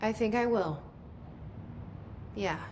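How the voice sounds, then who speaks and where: An actress playing a wary, curious newcomer to a secret agency in a video game, asking terse questions. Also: female voice, medium pitch, slow pace, noisy background, close to an everyday voice.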